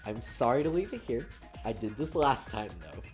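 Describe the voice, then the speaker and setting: A woman's voice: extremely low pitch, expressive, normal speed, a little mellow, soft background music. A woman streamer with a tired voice, chatting casually in a rambling way as she signs off. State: tired voice after a long stream. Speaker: streamer playing a story game. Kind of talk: casual stream sign-off chat.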